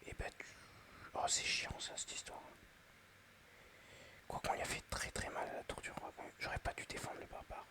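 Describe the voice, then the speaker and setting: A man whispering ASMR-style commentary while playing a mobile game, chatty and excitable, addressing his viewers. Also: moderate pace, long pauses.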